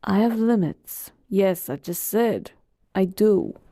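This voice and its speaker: An ancient genie of the lamp, acted out by a woman in an audio roleplay. The delivery is slow and leisurely, with a soft, relaxed tone at a middling pitch. The manner is weary but playful, sliding from lofty formality into casual sass. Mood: patient, musing. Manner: shifts between grand servitude and casual sass. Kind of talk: roleplay audio acting addressed to the listener.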